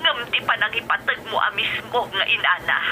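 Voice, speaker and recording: A woman's voice: on the high side, brisk, over music, lightly acted, low-quality recording. A woman playing an older grandmother, emotional and pleading, in an Ilocano-accented radio drama. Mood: defensive, pleading. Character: older grandmother, defensive, pleading with her daughter.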